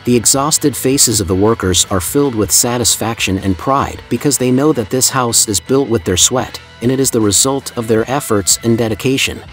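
A man reading a scripted documentary voice-over in a formal, admiring, evenly paced way. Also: medium pitch. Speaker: narrator. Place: voice-over, not the pictured building site. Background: soft background music.

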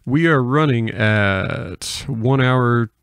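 Gravelly American man hosting a podcast. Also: medium pitch, very slow, fairly bright tone, dry recording. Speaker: podcast host. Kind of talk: casual conversational interview. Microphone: close podcast microphone.

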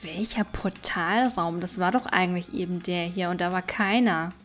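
A woman's voice: on the low side, moderate pace, soft background music. A woman giving casual let's play commentary, chatty and thinking aloud as she goes. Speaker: gaming streamer and let's play host.

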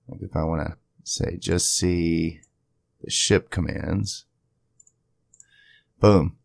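Deep, gravelly man walking through software. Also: fairly even intonation, takes it slowly, dry recording, studio quality. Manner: conversational, chatty asides. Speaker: tutorial video creator. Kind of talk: casual screen-share software tutorial. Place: indoors at a home PC, voice-over to screen capture.

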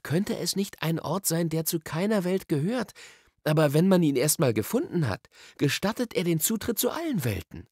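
An eager, bossy schoolboy, acted out by a male storybook reader, explains his ideas with impatient excitement. The voice is high and very lively, with a slightly gravelly edge. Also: moderate pace, dry recording, studio quality, exaggerated voice. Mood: eager, explaining. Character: eager, curious, bossy young schoolboy explorer.

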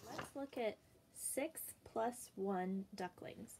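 A young woman teacher reading a picture book aloud and counting slowly for young children in a small room, patient and sing-song. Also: fairly high pitch, creaky, noisy background.

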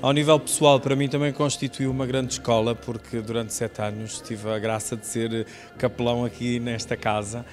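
A middle-aged clergyman speaks in a level, mid-pitched voice with a European Portuguese accent. He is formal, pausing often, over faint music. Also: flat delivery, a little mellow. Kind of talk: formal congratulatory interview remarks.

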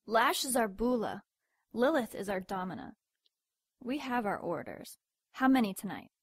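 A woman's voice: medium pitch, big pitch swings, normal speed, slightly rough, dry recording. Stern female demon, played by a woman, close dry sound. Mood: stern, urgent. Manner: commanding, curt. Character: stern, dutiful female demon keeping her sister in line. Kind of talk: audio drama acting.

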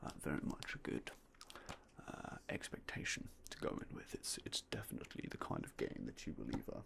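A young man softly whispering in a polite ASMR roleplay as a game store clerk, close to a binaural mic. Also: a little fast. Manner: soft, polite, mostly unvoiced whispering.

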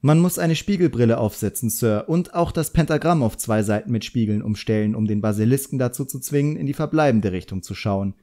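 A man's voice: mid-range voice, animated, fairly quick, hardly any pauses, dark tone, close to an everyday voice. A man voicing a proud, defiant boy wizard's apprentice in an audiobook, eager and self-assured. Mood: confident. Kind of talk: audiobook character acting.

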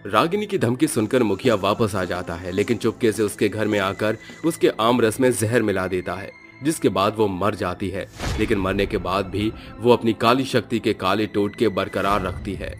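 A man's voice: on the low side, normal speed, few pauses, faint music behind. A man narrating a scripted story as its storyteller.

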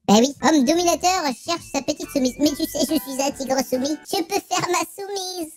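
A man's voice: very high-pitched, moderate pace, soft background music. A man voicing a flirtatious male tiger avatar, teasing and joking with strangers in a prank video. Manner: teasing, joking, innuendo-laden. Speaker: prankster host. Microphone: headset.

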